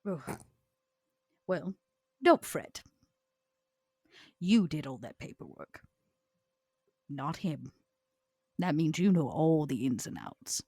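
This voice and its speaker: A woman playing a proud, shrewd, long-lived vampire enforcer in tabletop roleplay, with a theatrical, deliberate and cutting delivery. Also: low voice, expressive, warm tone, breathy edge, no echo, studio quality, full character voice. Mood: conspiratorial, coaxing.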